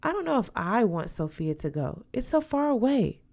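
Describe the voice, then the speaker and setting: A woman's voice: a little high, very animated, normal speed, vocal fry, darkish tone, heavily acted. A woman voicing a reluctant, doubtful young girl in an audiobook, hesitant and questioning. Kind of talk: audiobook character voice.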